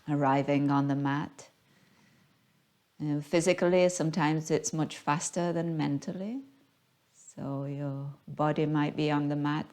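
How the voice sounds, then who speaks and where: A woman guiding a yoga class as the teacher, speaking in a gentle, unhurried, soothing manner. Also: deep voice. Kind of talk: guided yoga class instruction.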